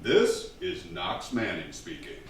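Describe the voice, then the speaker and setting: An actor playing a formal, declamatory radio announcer of a 1940s mystery program, on a theatre stage. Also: male voice, low voice, very animated, slow, over loud music, exaggerated voice. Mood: formal, proclaiming. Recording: very echoey hall from far off, faint noise.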